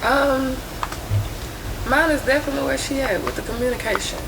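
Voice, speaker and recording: A calm woman chatting in a casual group discussion, saying she does not yell. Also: brisk, creaky. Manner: calm, says she never yells, low-key. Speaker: panelist. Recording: noisy room.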